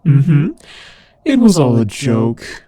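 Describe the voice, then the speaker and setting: A man's voice: mid-range voice, big pitch swings, slow pace, warm tone, no room sound. A man playing a teasing, sardonic demon ruler of hell in a scripted roleplay audio drama, with a conversational, theatrical delivery. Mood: amused, teasing.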